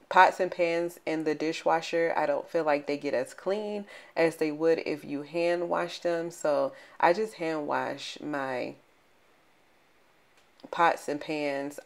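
A woman in her thirties from Florida, narrating her cleaning routine in a chatty, rambling voice-over with fillers and asides to viewers. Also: low voice. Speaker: cleaning vlogger.

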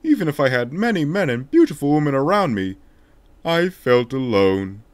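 A man's voice: a little high, big pitch swings, moderate pace, no echo. A man voicing a solemn, remorseful king in a declamatory, dramatic way for a video game fan dub. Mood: solemn, reflective.

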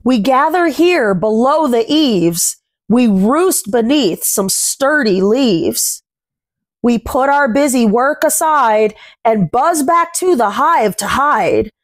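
A woman in her thirties hosts a children's story reading. Her voice sits a little high and she takes her time, very slowly walking young listeners through the book. She phrases things simply and deliberately, pausing to comment and ask questions. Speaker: children's read-aloud host. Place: small furnished room at home.

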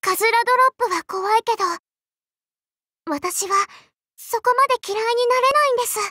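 A woman voicing a timid, gentle young game heroine with a soft, hesitant manner. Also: very high-pitched, fairly quick, no echo, studio quality. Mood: timid, sorrowful. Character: timid, gentle, lovesick young female alter ego. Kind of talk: Japanese game character voice lines.

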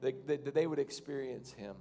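A man in his thirties, a pastor, preaching and then praying over his congregation from a church stage, with loose, halting phrasing that rises to fervent prayer. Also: mid-range voice, dark tone. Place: large church stage, indoors.

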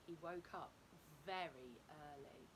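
A middle-aged woman reading a children's story aloud like a teacher, with deliberate, emphatic storytelling for young children. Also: deep voice, background noise. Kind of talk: expressive picture-book read-aloud.

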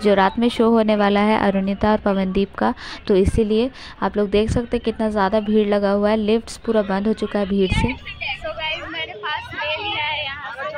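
A woman's voice: medium pitch, steady tone, normal speed, pauses often, a little mellow. A young adult woman, talking casually to her viewers as a vlogger on a handheld phone. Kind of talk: casual vlog to camera, Hindi mixed with English. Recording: noisy phone recording amid crowd and traffic.

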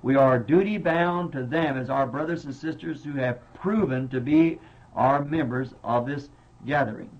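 Earnest pastor on old church tape. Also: male voice, medium pitch, moderate pace, a little mellow. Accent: Southern American. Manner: earnest, plain-spoken, repeats words. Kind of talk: sermon answering congregation questions. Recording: dull lo-fi 1960s tape recording.

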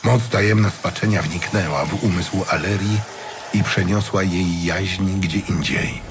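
A man's voice: deep voice, steady tone, unhurried, noisy background. A man reading a fantasy audiobook as a dramatic storyteller. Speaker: audiobook narrator. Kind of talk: dramatic scripted narration of a fantasy tale. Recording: music behind the voice.

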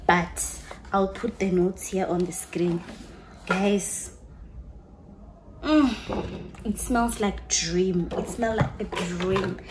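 A woman's voice: medium pitch, background noise. A young woman chatting to camera, gushing about her perfumes and slipping into local phrases. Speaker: vlogger. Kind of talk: casual chat to camera, showing perfumes.

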